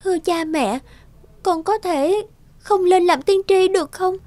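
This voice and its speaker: A woman's voice: very high-pitched, a little slow, darkish tone. An actress playing a meek, sorrowful sixteen-year-old village girl in a fairy-tale cartoon, timid and pleading. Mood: anxious pleading. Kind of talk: animated fairy-tale acting. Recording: music behind the voice.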